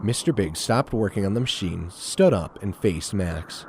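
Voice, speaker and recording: A man's voice: lowish voice, expressive, normal speed, darkish tone, a little hiss or hum. A man narrating an audio drama in a scripted storytelling style.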